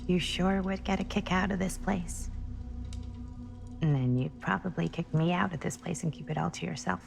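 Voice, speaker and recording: An actress playing a grieving, searching young American woman in a video game cutscene, with a husky, creaky, breathy voice and halting delivery. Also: fairly low pitch. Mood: wry, musing. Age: young adult. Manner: hesitant, halting speech. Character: grieving, searching young woman who misses her mother.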